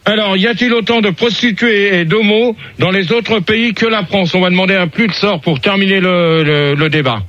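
A man's voice: high-pitched, moderate pace, few pauses, a bit bright, no room sound, dull audio. A man hosting a casual radio debate, blunt and scolding, who swears at the participants.

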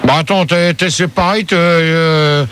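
A man's voice: fairly high pitch, a bit bright, a little hiss or hum. A man hosting a parody call-in debate, stumbling and filler-heavy. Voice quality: halting, many fillers, flustered. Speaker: mock radio debate host.

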